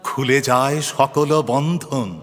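A man gives a dramatic recitation of devotional verse at a very slow pace. His delivery is solemn and declamatory, stretching phrases toward chant. His mid-pitched voice rings in a long reverb, with music behind it. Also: level tone, a bit bright. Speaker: poetry reciter.

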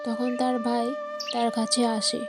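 Woman narrating a cartoon story, highish voice, scripted, music playing under her. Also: completely flat delivery, measured pace.

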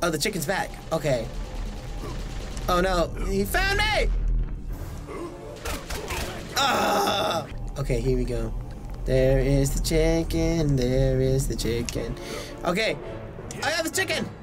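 Animated high-pitched young man gaming. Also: slow, long pauses. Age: young adult. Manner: excitable, self-deprecating, often exclaiming in play. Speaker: voice actor and gaming video host. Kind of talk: casual let's play commentary. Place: small indoor room. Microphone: desk microphone close by, with headset worn. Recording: game music behind the voice.

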